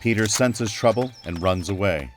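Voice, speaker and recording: An actor serving as the measured narrator of a scripted action radio drama. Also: male voice, fairly low pitch, lightly acted.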